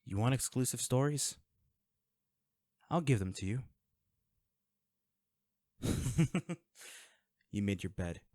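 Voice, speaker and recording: A man's voice: medium pitch, lively intonation, slow pace, long pauses, a little mellow, no echo. A man playing a guarded, wealthy vigilante in an audio drama, speaking in a curt, sarcastic and threatening way to the listener. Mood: bargaining.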